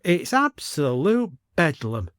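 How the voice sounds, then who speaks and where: A man voicing a gossipy village woman giving excited, colloquial running commentary in an audio story. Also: a little high, slow, no room sound, clean studio sound, voice pushed up, heavily acted. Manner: breathless gossipy chatter, colloquial.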